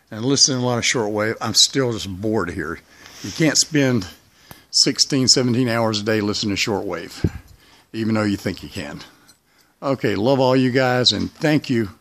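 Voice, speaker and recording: Low-voiced man narrating casually outdoors. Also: fairly bright tone. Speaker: hobby radio video maker. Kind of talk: casual vlog narration while filming. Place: outdoors in a backyard.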